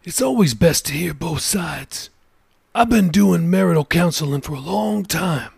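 A male narrator reads an older pastor with a raised, gravelly, husky voice. He counsels a couple in a gentle, measured way, like a kindly elder in a storybook reading, recorded dry and close. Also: on the high side, expressive, no echo, voice pushed up, exaggerated voice. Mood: counseling.

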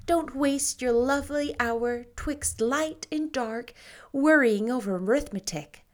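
A woman voicing an imaginative, dreamy young woman who speaks in a lively, romantic and gently teasing way. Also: high voice, slow, voice pushed up.

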